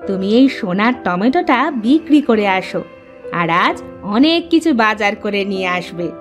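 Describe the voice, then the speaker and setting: A woman voicing a young farmer's wife in a cartoon, expressive and coaxing. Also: medium pitch, normal speed, faint music behind, lightly acted. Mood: eager, coaxing. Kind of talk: cartoon voice acting.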